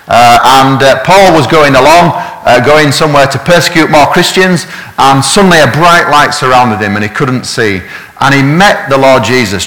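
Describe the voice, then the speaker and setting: A man's voice: medium pitch, a bit bright. A man with a Yorkshire accent, a minister giving a conversational sermon with many fillers and restarts. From Yorkshire, England. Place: church. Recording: long hall reverb.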